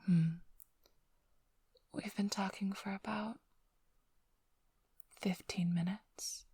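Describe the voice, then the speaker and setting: A woman playing a flirtatious, smug vampire thief in an intimate ASMR roleplay, teasing and sly in a breathy, often whispered voice. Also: mid-range voice, steady tone, extremely slow, warm tone, no room sound, full character voice. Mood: mocking, playful. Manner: teasing, purring, theatrically sly. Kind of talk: ASMR roleplay, intimate close address to listener.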